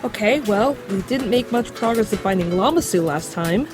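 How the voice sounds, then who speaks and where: A woman's voice: mid-range voice, lively intonation, moderate pace, music in the background, lightly acted. A woman playing an earnest, responsible friend in an audio drama, speaking in a direct, coaxing way. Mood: firm.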